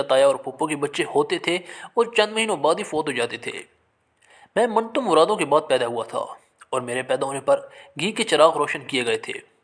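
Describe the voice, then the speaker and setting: Male storyteller, slightly high-pitched and very expressive in intonation, for emotional first-person dramatic narration.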